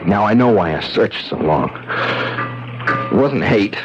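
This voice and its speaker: An actor playing a bitter, brooding ex-convict still in love, with intense hardboiled delivery in 1970s radio drama style. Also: male voice, on the low side, lively intonation, measured pace. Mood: anguished. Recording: muffled 1970s radio broadcast, music behind.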